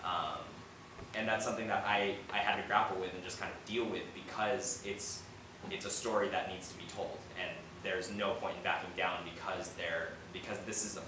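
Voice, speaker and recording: Low-voiced young man in a very echoey room. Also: level tone. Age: young adult. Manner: informal, halting, reflective. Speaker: playwright on a discussion panel. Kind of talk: audience Q&A panel talk. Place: echoey indoor theater. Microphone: distant camera mic. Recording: echoey room, distant mic, noisy background.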